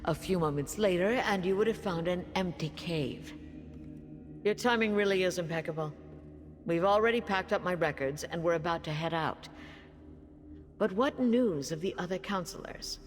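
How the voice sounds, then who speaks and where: A woman's voice: on the low side, moderate pace, close to an everyday voice. A woman playing a proud, precise veteran councillor in a fantasy video game, formal, composed and dry. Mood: wry, businesslike. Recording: long cavernous reverb, music behind.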